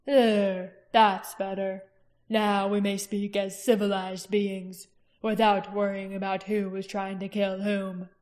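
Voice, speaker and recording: Female narrator voicing a menacing, slow-talking male king for an audiobook, flat delivery. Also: medium pitch, close to an everyday voice. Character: cruel smooth-talking male tyrant king. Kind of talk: audiobook character reading.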